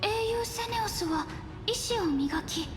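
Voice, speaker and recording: An actress playing a gentle, earnest young woman guide in an anime-style game, polite and soft-spoken. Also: very high voice, lively intonation, a little fast. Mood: startled, questioning. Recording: echoey hall reverb, clear game music.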